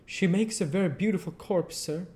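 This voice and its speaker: A man voicing a woman who lays out the dead, speaking in a high, gushing, confiding way. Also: moderate pace, mellow, natural voice.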